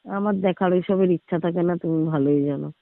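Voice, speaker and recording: Tinny high-pitched, fast-talking man on phone. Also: vocal fry. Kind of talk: intimate casual phone chat. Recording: tinny phone-line audio, roomy echo.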